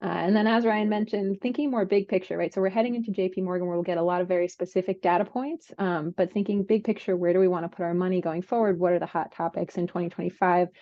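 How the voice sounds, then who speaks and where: A woman's voice: on the low side, steady tone, fast, hardly any pauses, no echo. A woman working as a biotech analyst, giving a conversational market briefing on a call. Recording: slightly dull, lo-fi call audio.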